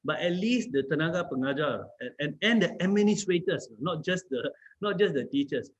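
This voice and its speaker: Higher-voiced Malaysian man in conversation. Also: lively intonation, fairly quick. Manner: repeats phrases, explains with examples. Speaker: guest, company founder. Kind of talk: conversational interview discussion.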